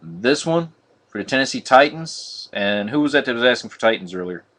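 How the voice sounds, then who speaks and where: A man hosting a live card break on a stream, talking viewers through each pull in a flat, monotone way. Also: mid-range voice, fairly quick. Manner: monotone, says so himself; chatty, addresses viewers as guys. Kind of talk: casual live-stream commentary.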